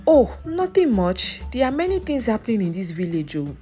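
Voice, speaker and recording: A woman playing scheming young village man, coy, confiding, lively intonation, folktale storytelling, soft music underneath. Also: a little high, moderate pace. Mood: casual teasing. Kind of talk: folktale character voice.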